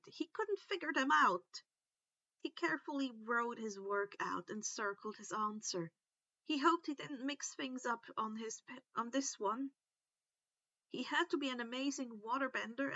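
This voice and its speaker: Female story narrator, high voice, expressive delivery with drawn-out emphasis, slightly muffled lo-fi sound. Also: no echo. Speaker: audiobook-style reader of fan fiction.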